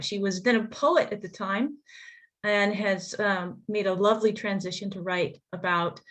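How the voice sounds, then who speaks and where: A woman hosting a reading introduces the guest author on a video call, halting and full of restarts. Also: a little high. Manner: warm but halting, with restarts and fillers. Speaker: event host.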